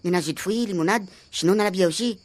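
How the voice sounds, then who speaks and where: A woman's voice: on the low side, very fast, a little hiss or hum, natural voice. A woman playing a brash, bickering buddy with a Réunion Creole accent in a comic radio serial. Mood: casual. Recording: loud music under the voice.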